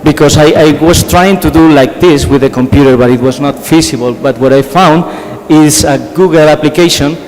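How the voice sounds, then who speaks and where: A middle-aged man from Spain with a strong Spanish accent, giving a conference talk in halting English, repeating words and putting questions to the audience. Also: fairly high pitch, a little mellow. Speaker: conference speaker, physician. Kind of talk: conference presentation. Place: large conference hall. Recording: hall reverb, faint background ambience.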